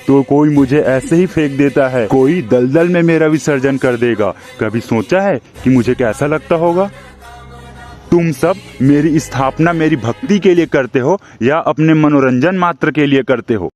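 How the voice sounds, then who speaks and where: A man plays an offended deity in a children's cartoon tale, stern and reproachful. He declaims his scolding at a middling male pitch, with faint music behind. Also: moderate pace, darkish tone, lightly acted.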